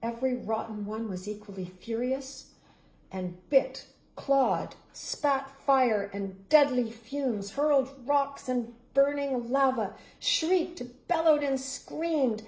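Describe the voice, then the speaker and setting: Woman storyteller, middle-aged, slow and expressive read-aloud, a bit high, echoey room. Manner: expressive, dramatic rises and hushed, whispered endings. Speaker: storybook reader. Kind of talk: reading a picture book aloud. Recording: roomy echo.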